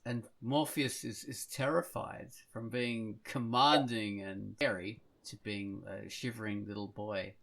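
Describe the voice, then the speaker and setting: A man hosting a podcast, narrating scenes and chatting casually, pausing to search for words. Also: lowish voice, steady tone, creaky, a bit bright. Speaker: podcast host.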